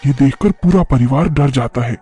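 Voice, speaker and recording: A man's voice: mid-range voice, normal speed, dark tone, slightly rough. A man reading scripted narration for a horror cartoon story. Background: faint music.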